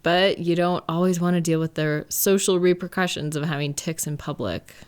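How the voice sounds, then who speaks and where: A woman acting a gentle, caring partner in an intimate comfort roleplay, speaking in a tender, unhurried, reassuring way. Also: lowish voice. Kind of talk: roleplay comfort audio, intimate one-sided conversation.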